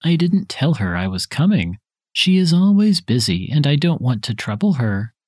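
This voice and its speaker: A man reading his parts in a scripted dialogue for a language listening test, with careful, even delivery. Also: mid-range voice, normal speed, no echo, clean studio sound.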